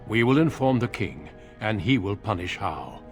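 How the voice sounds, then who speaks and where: An actor playing a courteous, grave warrior recruiter in a video game, speaking in a measured, formal way. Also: male voice, lowish voice, sing-song, faint music behind. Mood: solemn, firm. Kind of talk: dramatic video game acting.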